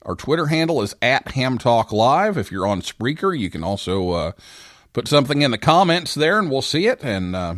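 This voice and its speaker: A man's voice: mid-range voice, very animated, moderate pace, a bit bright, no echo, studio quality. A man hosting a live ham radio call-in talk show, speaking as the presenter.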